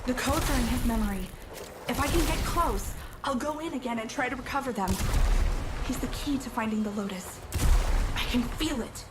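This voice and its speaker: A woman plays a stubborn young space pilot in a cinematic game. The voice is high and earnest, full of resolve. Heavy ambient noise sits behind it. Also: normal speed, lightly acted. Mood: determined. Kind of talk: cinematic video game voice acting. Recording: loud background ambience.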